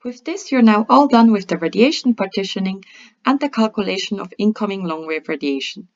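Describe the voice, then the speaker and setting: A woman presenting a scripted software tutorial in a methodical, step-by-step instructional way. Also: mid-range voice, animated, brisk. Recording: dull lo-fi voice-over.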